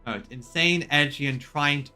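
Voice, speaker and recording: A man's voice: a little high, a little slow, pushed and bright, clear, resonant tone, soft background music. A man doing casual video game commentary as a streamer, chatting and reading lines aloud.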